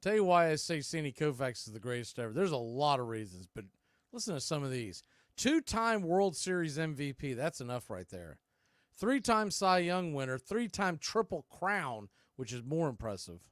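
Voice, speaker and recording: Higher-pitched man calling baseball play-by-play. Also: big pitch swings, normal speed, dry recording, studio quality. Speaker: baseball play-by-play announcer and host. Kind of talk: live play-by-play commentary.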